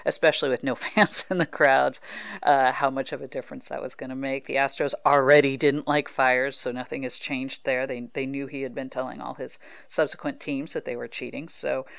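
A woman's voice: extremely low pitch, flat delivery, brisk, creaky, no echo. A woman with an American accent, hosting a sports podcast and talking casually as a reporter. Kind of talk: casual conversational sports talk.

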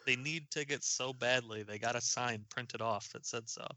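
A man's voice: mid-range voice, steady tone, normal speed, sharp tone. A man in his thirties co-hosting a casual podcast, dropping wry joking asides. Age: 30s. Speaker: podcast co-host.

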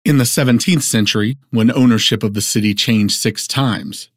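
A man playing a formal, measured narrator who sets out a fictional city's history in an audio drama. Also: medium pitch, no room sound, clean studio sound, lightly acted. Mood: measured, factual.

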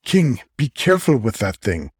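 A man's voice: mid-range voice, very animated, normal speed, husky, dry recording. A mild male okapi from an animal storybook, as a man reads him. His manner is casual, hesitant and evasive. The sound is close and dry. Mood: nervous.

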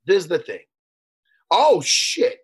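Podcast co-host, male: high, animated, husky, always joking. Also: takes it slowly. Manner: joking, teasing banter. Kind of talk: casual chat.

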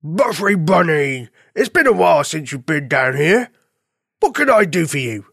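A woman voicing a bored, good-natured male farm dog in a children's story, with a slow, drawn-out delivery. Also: low-pitched, very animated, vocal fry, breathy edge, no echo, clean studio sound, heavily acted. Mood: sleepy, friendly.

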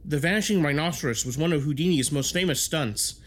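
A man's voice: on the high side, brisk, lightly acted. A man playing a knowledgeable, informative team historian in a science fiction audio drama.

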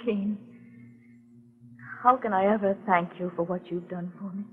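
A woman's voice: mid-range voice, measured pace, lightly acted. A woman playing a frightened heiress in danger in a 1940s radio drama. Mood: shaken, subdued. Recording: tinny phone-like 1940s radio recording.

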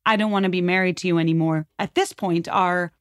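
A woman's voice: fairly low pitch, steady tone, a little fast, clear, resonant tone, no room sound, studio quality. A woman guest talking at length in an emphatic, rambling, conversational way, as if telling a story from history. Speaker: podcast guest.

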